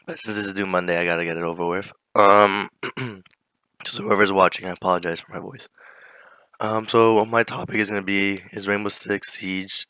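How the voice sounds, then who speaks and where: Teenage boy, hoarse flu voice, low pitch, bright tone, dry close sound, casual student presentation. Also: fairly even intonation, normal speed, no room sound, rough recording. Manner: casual, many fillers, clears throat often. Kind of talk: class presentation with slides.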